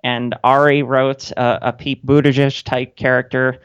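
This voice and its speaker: A woman's voice: very deep voice, level tone, a little slow, smooth, no room sound. A woman in her thirties chatting casually and wryly as a guest in a radio interview. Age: 30s. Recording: dull, band-limited audio.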